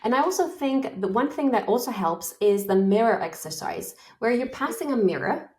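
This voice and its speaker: A woman's voice: on the high side, expressive, moderate pace, darkish tone. Woman in her forties, enthusiastic, encouraging, motivational interview guest. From Romania. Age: 40s.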